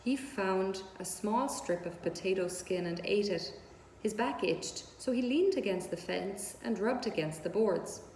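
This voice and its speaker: A woman reading a children's book aloud as a storyteller, with measured, deliberate phrasing. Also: mid-range voice, lively intonation, darkish tone. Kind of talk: scripted children's book narration. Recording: roomy hall-like echo, audible background noise.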